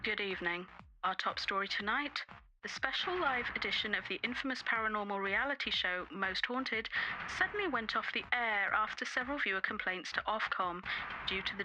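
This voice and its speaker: A woman's voice: mid-range voice, background noise, close to an everyday voice. A woman playing a television newsreader, giving a formal scripted bulletin. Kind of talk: news bulletin in audio drama.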